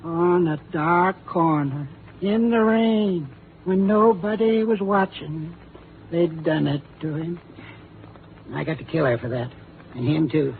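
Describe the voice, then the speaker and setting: An actor playing a simple-minded old man, frightened and vengeful, with slow, ungrammatical, childlike speech in a 1950s radio drama. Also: fairly high pitch, gravelly. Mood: brooding, vengeful. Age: older. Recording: muffled, hissy 1950s radio recording.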